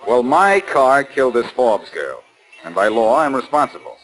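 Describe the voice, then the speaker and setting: An American actor playing a cocky, unflappable sleuth with sardonic, flippant banter in 1940s radio style. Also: male voice, medium pitch, very animated, normal speed, a bit bright, soft background music, rough recording. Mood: serious, dry. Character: wisecracking, unflappable man sleuth, cocky and teasing. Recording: vintage 1940s radio recording.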